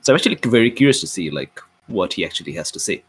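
A man playing a tabletop game, setting out his ideas in casual, deliberate talk with pauses. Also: sits low, big pitch swings, a bit bright, husky.

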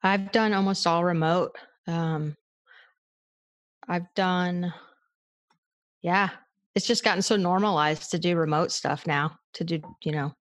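Woman from Georgia, thoughtful guest, unhurried, slightly low voice. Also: no echo. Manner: relaxed, thoughtful, a few hesitant fillers. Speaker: guest, paramedicine educator. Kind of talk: casual interview conversation.

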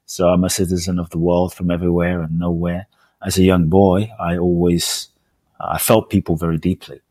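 A man with a very low, slightly rough voice speaks as an interview guest. He tells personal stories earnestly, leaning on repeated phrases like a practised speaker. The sound is close and dry. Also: little pitch movement, moderate pace, warm tone, no room sound. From born in Ghana, raised in London. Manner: earnest, emphatic, repeats phrases for rhetorical effect. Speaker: podcast guest, speaker and author. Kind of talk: reflective personal storytelling interview.

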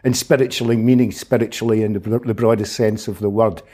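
A man, a humanitarian aid worker giving measured, thoughtful answers as an interview guest. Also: lowish voice, fairly even intonation, mellow, slightly rough. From Scotland. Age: 50s. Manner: measured, thoughtful, qualifies his words. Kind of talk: reflective interview conversation.

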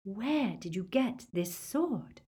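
A woman voicing an unhinged, imperious villainous witch in an audiobook, sharp and commanding. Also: mid-range voice, animated, takes it slowly, breathy edge, voice pushed up, heavily acted. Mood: threatening.

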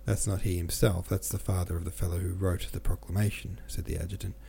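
A man voicing a gossipy, smiling adjutant in an audiobook, talking smoothly and at length. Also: deep voice, level tone, quick pace, dark tone, exaggerated voice. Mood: chatty. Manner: smooth, talkative storytelling. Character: smiling gossipy male Russian adjutant, chatty.

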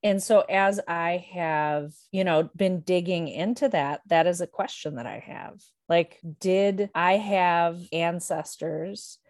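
A woman talking reflectively about personal matters in a thoughtful, measured way, pausing to find the right words. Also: low voice, a little mellow, dry recording, studio quality.

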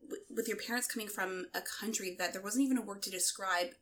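A woman's voice: medium pitch, quick pace, a bit bright. A woman hosting a casual podcast interview, speaking in an encouraging, supportive way and peppering her talk with "like". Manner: encouraging, supportive, heavy use of "like". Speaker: podcast host.

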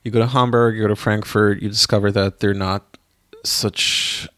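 A man who grew up in Israel, chatting casually on a podcast, pausing often with fillers and restarts. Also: on the low side, flat delivery, sharp tone, no room sound. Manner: hesitant, many fillers and restarts. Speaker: podcast co-host. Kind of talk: casual chat.

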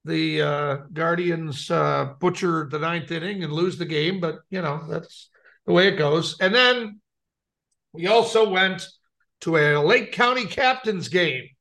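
A man's voice: a little high, a little slow, gravelly, a bit bright. A middle-aged American man telling wry, rambling anecdotes and reading light news in a casual co-host style. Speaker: podcast co-host.

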